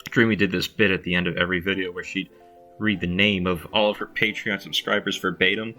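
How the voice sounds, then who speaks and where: Sharp, bright, lowish-voiced man, informal. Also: steady tone, fairly quick. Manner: self-deprecating, unpolished. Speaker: video creator. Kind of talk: informal spoken commentary. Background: faint music.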